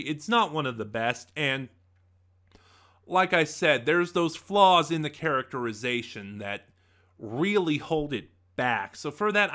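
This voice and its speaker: A man reviews in a casual, conversational way, thinking aloud and sharing his opinions. His voice is bright and forward, with lively swings in pitch. It sits at a middling height for a man. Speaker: reviewer, host of a review channel. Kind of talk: casual spoken audio drama review.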